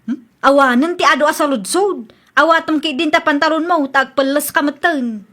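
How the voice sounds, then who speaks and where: A defiant Ilocano housewife in a radio comedy. Her voice sits very high and cuts through brightly. She sounds sassy and ready to argue back at anyone. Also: normal speed. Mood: bossy. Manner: sassy, argumentative. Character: brash, defiant housewife who borrows without asking. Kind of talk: Filipino radio comedy drama acting.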